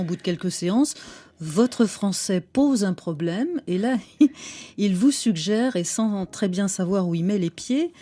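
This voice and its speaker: A woman hosting a radio interview, calmly summarizing her guest's story and asking questions. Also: on the low side. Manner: composed, summarizing and prompting the guest. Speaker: radio host.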